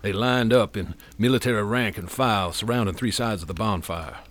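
An actor playing a grim, weary police inspector, recounting events in a plain-spoken, folksy way for a radio drama. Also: male voice, lowish voice, a little fast, fairly bright tone, a little hiss or hum, natural voice. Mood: grim recollection. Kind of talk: radio drama first-person narration.

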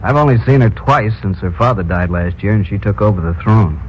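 Um ator interpretando um prospector de petróleo americano indignado, num drama de rádio dos anos 1950. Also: male voice, lowish voice, lively intonation, fairly quick, slight background noise, natural voice. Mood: earnest. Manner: protesting, emphatic. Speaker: radio drama actor. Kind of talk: 1950s radio acting. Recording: dull old radio broadcast recording.

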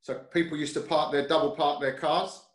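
A man's voice: fairly high pitch, flat delivery, normal speed. A man from North London with a London accent, a guest talking easily in an interview, hesitant with fillers and restarts. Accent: London English. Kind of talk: casual interview chat. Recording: roomy echo.

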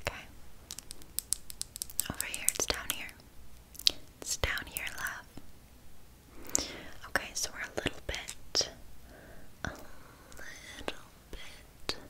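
A woman playing a flaky, passive-aggressive witch in an ASMR roleplay, speaking in a soft, breathy whisper with casual, deadpan patter. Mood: distracted, mock soothing.

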